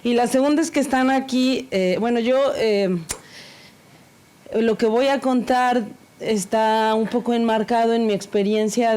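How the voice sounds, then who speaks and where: A woman speaking earnestly at an academic conference panel, halting and full of fillers, openly nervous. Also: a little high, warm tone. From Mexico. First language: Spanish.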